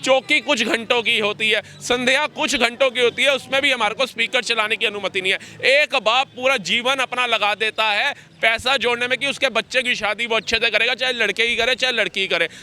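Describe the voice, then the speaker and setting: A man with a very high, piercingly bright voice makes his case in a street interview. He is combative and emphatic, pressing his points with one rhetorical question after another. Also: a little fast, few pauses, slight background noise. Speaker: interviewee giving political opinions. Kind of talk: impassioned street interview argument.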